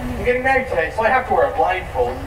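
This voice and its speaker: A man's voice: high-pitched, sing-song, racing, noisy background. A man playing a cheerful, dashing young groom, blindfolded and clumsy, with a jaunty storytelling manner, in a community musical. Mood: breezy. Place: outdoor amphitheater stage. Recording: distant, echoey stage sound with loud band.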